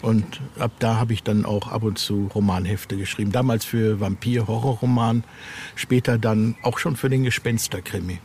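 A man's voice: on the low side, steady tone, moderate pace, darkish tone, slight background noise. A man being interviewed as an author, chatting casually and searching for words with repeated phrases.

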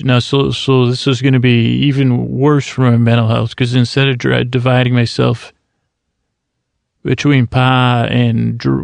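A man's voice: mid-range voice, creaky, dry recording. A man hosting a podcast, doing a rambling comedic monologue full of restarts and stammers. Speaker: podcast host.

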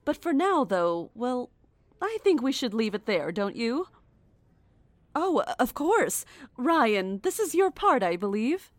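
A woman's voice: fairly high pitch, very animated, takes it slowly, no echo. A woman playing a coolly commanding, mocking captor in a horror audio drama, composed and formal. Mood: condescending, taunting.

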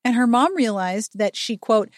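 A woman's voice: on the high side, normal speed, no echo, studio quality. Sarcastic, sweary woman podcast host. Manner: animated, sarcastic, sweary storytelling. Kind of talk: chatty book recap with commentary.

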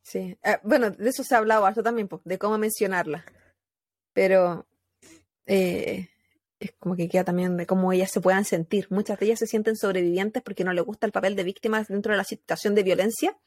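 A woman with a Chilean accent telling a true crime story in casual podcast chat, animated, with fillers and laughs. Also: mid-range voice, a little fast, dry recording, studio quality.

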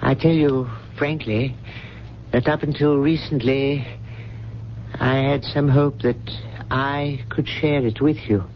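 An earnest, protective older butler in a vintage radio play. His mid-pitched voice is grave and courteous, heard on a dull recording with faint noise. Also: fairly even intonation, slow. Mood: tender, earnest. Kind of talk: 1970s radio drama acting.

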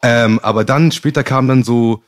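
A man's voice: mid-range voice, normal speed. A man from Gambia, a podcast guest telling his story in rambling, slangy casual chat.

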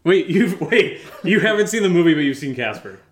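A man's voice: on the high side, very animated, a little fast, roomy sound. A man co-hosting a show with casual, joking banter, thinking aloud in a rambling, hesitant way. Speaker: show co-host.

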